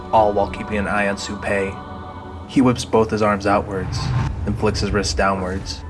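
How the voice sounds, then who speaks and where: A man narrating a scripted audio drama. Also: fairly low pitch.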